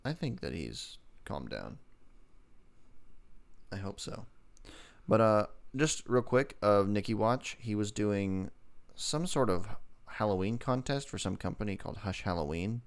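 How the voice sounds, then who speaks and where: A man's voice: fairly low pitch, very animated, a little slow. A man co-hosting a casual podcast, joking and teasing as he rambles into asides about pop culture. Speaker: podcast co-host.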